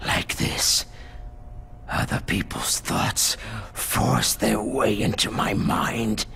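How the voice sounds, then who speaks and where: An actor playing a dying psychic villain in a video game, sinister and mournful, with a breathy rasp and slow, theatrical delivery. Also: male voice, lowish voice, bright, forceful tone, a little hiss or hum, heavily acted. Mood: dying, wistful. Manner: slow, halting, dramatic phrasing. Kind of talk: video game cutscene acting.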